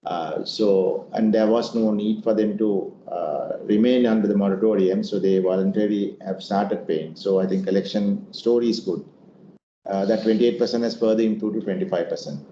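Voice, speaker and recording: A man's voice: on the low side, fairly even intonation, normal speed, warm tone. A man answering investor questions as an executive leading an earnings call, in a semi-formal way. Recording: muffled, echoey room with noise.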